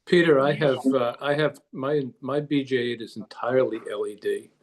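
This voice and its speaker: A man's voice: mid-range voice, fairly even intonation, moderate pace, poor audio. A man, a club member on a conference call, casually explaining technical details and offering advice.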